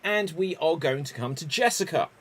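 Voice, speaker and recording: A man hosting an improv competition, explaining the rules clearly and deliberately. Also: fairly high pitch, lively intonation. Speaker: improv show host. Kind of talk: game show hosting.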